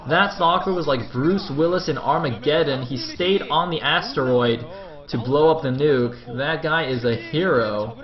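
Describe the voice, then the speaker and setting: A man's voice: on the high side, normal speed. A man giving live play-by-play commentary on a strategy game, casually thinking aloud as he goes. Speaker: esports commentator. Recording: dull lo-fi audio over crowd noise.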